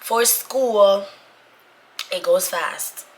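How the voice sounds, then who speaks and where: A young woman with a raspy voice, worn from being sick, chatting casually and informally to her viewers and repeating phrases for emphasis. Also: medium pitch, very slow, noisy background. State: sick, voice going away. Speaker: vlogger, Navy corpsman trainee.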